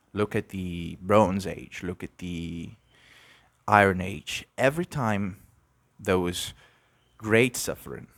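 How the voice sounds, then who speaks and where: A young man from Brazil hosting an unscripted podcast, musing and halting, with many restarts. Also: low-pitched, a bit bright, no echo. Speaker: podcast host. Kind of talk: unscripted reflective monologue.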